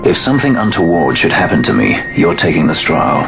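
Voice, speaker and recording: An actor playing a suave, cocky sky pirate in a video game cutscene, sounding wry and self-assured. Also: male voice, deep voice, wildly swooping pitch, fairly quick, gravelly, exaggerated voice. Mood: confiding. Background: game score music.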